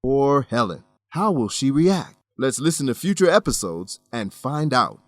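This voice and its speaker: A man's voice: medium pitch, sing-song, a little slow, no echo. A man narrating a radio drama and English lesson as host, explaining expressively in scripted, learner-friendly sentences.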